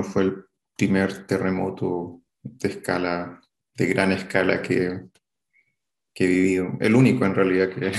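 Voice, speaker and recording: Chilean man in his thirties, interviewee; low, flat, gravelly voice, hesitant delivery, echoey room. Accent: Chilean Spanish. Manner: halting, hesitant, many fillers and restarts. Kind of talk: recalling a past event in an interview.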